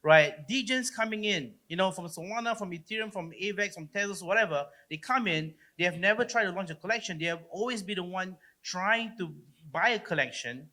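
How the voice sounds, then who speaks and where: A man's voice: high-pitched, a little fast, hardly any pauses, pushed and bright. A man with a Singaporean accent, speaking casually as a panelist at a conference, explaining things loosely and often tagging on questions. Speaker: panelist, platform co-founder.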